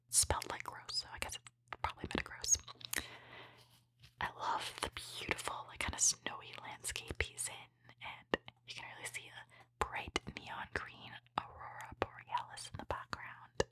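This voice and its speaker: Female ASMR host, steady breathy whisper, crisp bright edge, gentle and appreciative, card-opening commentary.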